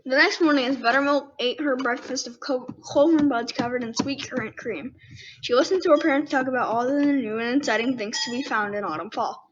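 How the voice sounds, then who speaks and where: A child of ten with a high voice reads a children's book aloud and chats casually between passages. The recording is a bit muffled, with faint background noise. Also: brisk. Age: 10 years old. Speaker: child host reading storybooks aloud. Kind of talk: casual read-aloud with asides.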